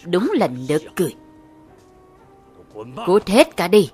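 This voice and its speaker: A woman voicing an arrogant, menacing old male demon master in an animated fantasy dub, with haughty, deliberate threats. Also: medium pitch, faint music behind. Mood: haughty scorn.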